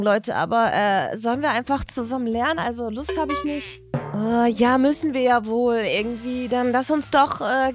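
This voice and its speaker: Woman playing a dramatic, high-voiced teenage schoolgirl. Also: close to an everyday voice. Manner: exaggerated groaning, gushing teen slang. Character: chatty teenage schoolgirls, dramatic and easily bored. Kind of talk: improvised doll-play storytelling with character voices.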